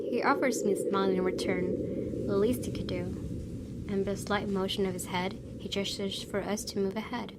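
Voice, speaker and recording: A woman's voice: medium pitch, noisy background. A woman reading visual novel narration aloud expressively as a gaming video host. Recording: clear music under the voice.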